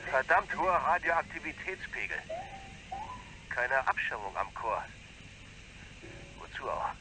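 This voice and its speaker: A man's voice: medium pitch, big pitch swings, measured pace, pushed and bright, slight background noise, heavily acted, poor audio. An actor playing a tense, anxious male astronaut talking over a radio link in a radio play. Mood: focused.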